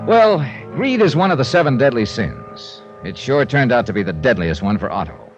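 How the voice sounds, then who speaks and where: An actor playing a tough, wry, confident investigator with an American accent, punchy and sardonic in 1950s radio style. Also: male voice, mid-range voice, very animated, moderate pace, natural voice. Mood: dry, wry. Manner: punchy, hard-boiled, sardonic. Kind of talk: 1950s radio acting and first-person narration. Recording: dull old radio recording with music.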